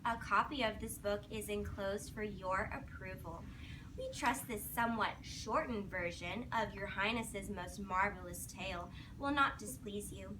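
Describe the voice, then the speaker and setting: A woman's voice: medium pitch, a little slow. A woman teacher reading aloud to young children in a classroom, with simple instructional prompts and praise. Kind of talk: read-aloud lesson with questions to children. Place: classroom, recorded from a distance. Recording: distant mic, noisy classroom.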